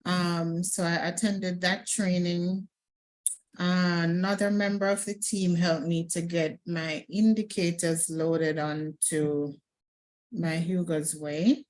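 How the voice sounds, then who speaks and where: Slow, halting woman caller from Florida. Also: fairly low pitch, a little mellow. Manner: slow, halting, with frequent fillers. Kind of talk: casual testimonial on a call. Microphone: video call.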